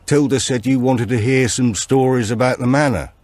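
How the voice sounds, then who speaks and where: A man voicing a grave old storyteller who gives warnings slowly and deliberately in an audiobook. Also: medium pitch, fairly even intonation, darkish tone, no room sound, pitched above the performer's own voice, close to an everyday voice. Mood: grave, cautioning.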